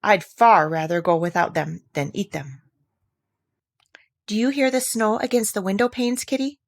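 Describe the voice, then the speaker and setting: A woman voicing a chatty, imaginative little girl in a storybook, animated and mock-stern as she talks to her kitten. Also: mid-range voice, normal speed, dry recording, natural voice. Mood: musing, then dreamy, tender. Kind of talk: storybook character voice.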